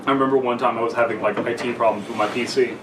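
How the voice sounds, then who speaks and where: A young man, tipsy and halting, chatting casually with friends and stumbling as he reads a story aloud. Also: medium pitch, fairly even intonation. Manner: halting, stumbles over reading. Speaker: podcast co-host reading a story aloud. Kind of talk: casual banter and story reading among friends. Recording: echoey noisy room, far from mic.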